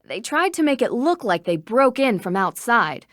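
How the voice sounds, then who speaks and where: An actress playing a polite, inquisitive young woman detective in a video game, speaking in a curious, even-tempered way. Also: a little high, moderate pace, no echo, studio quality. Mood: matter-of-fact. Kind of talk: scripted video game dialogue.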